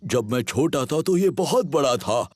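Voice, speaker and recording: A man's voice: mid-range voice, animated, measured pace, no room sound, clean studio sound. A man voicing a big, cheerful, self-assured daddy pig in a children's cartoon dub. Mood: jolly. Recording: cartoon music behind the voice.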